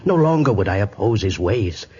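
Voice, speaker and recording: An actor playing a proud, troubled high priest of a jungle village in a 1950s radio drama, with formal, declamatory speech. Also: male voice, animated, normal speed, warm tone, breathy edge. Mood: anxious, pleading. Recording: dull old radio recording, music behind.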